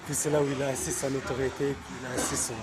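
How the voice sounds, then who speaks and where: A man's voice: medium pitch, level tone, very fast. A man being interviewed casually, explaining his points and often repeating and rephrasing as he goes. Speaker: interviewee, combat sports practitioner.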